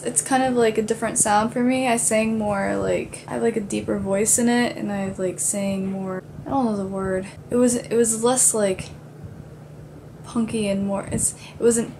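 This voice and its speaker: A woman's voice: fairly high pitch, moderate pace, vocal fry, a little mellow, a little hiss or hum. A young woman vlogging casually to a handheld camera in a dim bedroom, chatty and rambling. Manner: chatty, rambling with many fillers. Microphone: handheld camera at arm's length.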